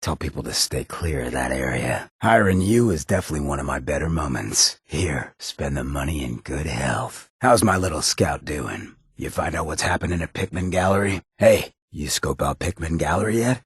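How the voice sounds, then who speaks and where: An actor playing a roguish, cynical charmer of a town mayor in a video game, with a raspy, gravelly voice and a cocky, slangy drawl. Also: male voice, extremely low pitch, animated, normal speed, few pauses, no echo, studio quality, full character voice. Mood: sly, easygoing. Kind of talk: video game character dialogue.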